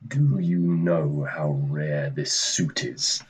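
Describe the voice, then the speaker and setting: Man acting as Death, low, slow and husky. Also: exaggerated voice. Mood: deadpan. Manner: deadpan, curt, formal, precise. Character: Death, weary, stern, gentlemanly and easily irritated. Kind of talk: radio play acting.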